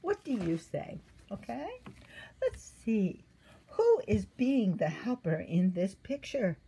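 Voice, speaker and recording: A woman in her sixties reading a children's devotional story aloud in a gentle, teacherly way, pausing to put simple questions to young listeners. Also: medium pitch, big pitch swings, slightly rough, low-quality recording. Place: indoor room at a table.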